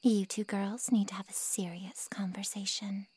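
A woman playing a snide, taunting female monster in an ASMR roleplay, with a sarcastic drawl and a breathy, half-whispered voice. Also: medium pitch, steady tone, moderate pace, a little mellow. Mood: teasing, sardonic. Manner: sarcastic, insulting, teasing drawl.

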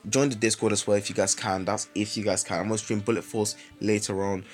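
A young British man with a lively voice commentating his mobile game live, chatty with sudden excited outbursts, saying he is ill. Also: fairly low pitch, fast, faint music behind. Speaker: streamer.

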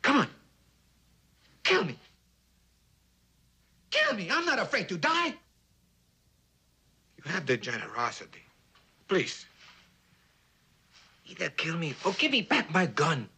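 An actor playing a cocky, taunting gunslinger bandit with a theatrical, goading manner in an old television western. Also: male voice, high-pitched, huge pitch swings, slow, pauses often, sharp tone, husky, full character voice. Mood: wild pleading dare.

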